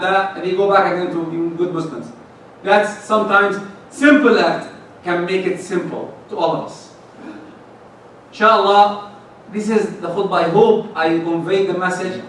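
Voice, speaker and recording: A man giving a sermon in a mosque, moving between loud exhortation and soft pleading. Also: high-pitched, slight background noise. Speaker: imam giving a Friday sermon. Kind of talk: sermon to a congregation. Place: mosque prayer hall. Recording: echoey hall, mic far off.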